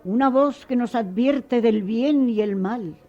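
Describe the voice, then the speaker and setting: An actress playing a kind, devout, motherly schoolteacher in a radio drama, speaking with measured, formal compassion. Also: fairly high pitch, mellow, lo-fi sound, faint music behind. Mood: compassionate, solemn. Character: kind, devout, motherly schoolteacher giving gentle moral counsel.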